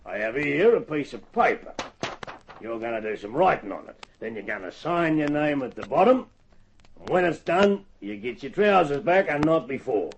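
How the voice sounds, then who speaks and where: A man's voice: on the high side, very animated, moderate pace, creaky, slight background noise. An actor playing a crafty, bullying country man in an old-time radio drama, talking folksy and mock-polite. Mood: gleeful menace. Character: crafty, bullying rural man feigning innocence. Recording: dull lo-fi old radio recording.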